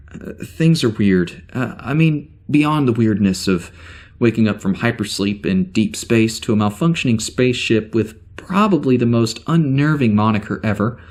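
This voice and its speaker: Male actor as uneasy amnesiac ship's officer: medium pitch, hesitant, wry, rambling log entries for sci-fi audio drama. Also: a little mellow, lightly acted. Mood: bewildered, unsettled. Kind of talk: spoken log in science fiction audio drama.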